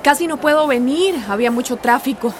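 Actress as warm earnest female friend, voice a little high, natural chatty radio drama delivery. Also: fairly quick, noisy background, close to an everyday voice. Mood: playful.

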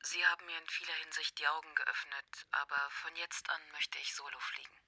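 An actress playing a resolute therapy patient in a radio crime drama, hushed, polite but firm. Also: female voice, low voice, level tone, brisk. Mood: quietly resolute. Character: resolute female therapy patient breaking free.

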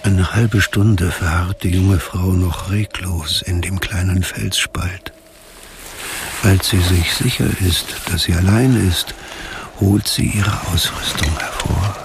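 A man narrating a dark radio drama in a measured, solemn way. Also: low voice, steady tone, vocal fry, background noise, exaggerated voice. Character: measured, grave male narrator of a dark tale. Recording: loud music under the narration.

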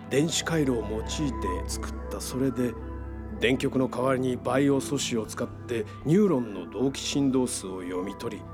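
A man's voice: mid-range voice, natural voice. An actor playing a confident psychotherapist who lectures in technical terms about his invention, in a radio drama. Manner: lecturing, technical, self-assured. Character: confident male psychotherapist explaining his brain-linking invention.

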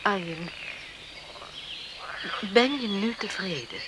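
An actress playing a loving, emotional young village sweetheart in a radio play, with tender, exclaiming delivery. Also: female voice, mid-range voice, lively intonation, slow. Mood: anxious, tender. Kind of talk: Dutch radio play acting. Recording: noisy old radio play recording.

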